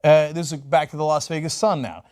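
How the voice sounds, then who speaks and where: A man's voice: on the high side, fairly even intonation, brisk, darkish tone. A man giving political commentary, reading out news reports and explaining them in a conversational way.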